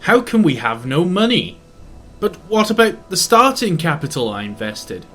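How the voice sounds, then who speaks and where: A man's voice: on the high side, very animated, moderate pace, bright, forceful tone, soft background music, voice pushed up, exaggerated voice. A man voicing an irritable, proud railway owner in an audio drama, blustering and exasperated.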